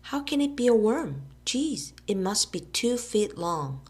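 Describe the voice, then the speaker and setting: A woman voicing an outraged, suspicious young boy who shouts shrilly. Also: fairly high pitch, sing-song, a little slow, pitched above the performer's own voice. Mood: incredulous.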